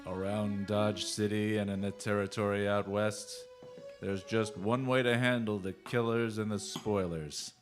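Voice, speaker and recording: An actor playing a solemn, dramatic announcer of an old-time western radio show. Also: male voice, fairly low pitch, little pitch movement, measured pace, music in the background, natural voice.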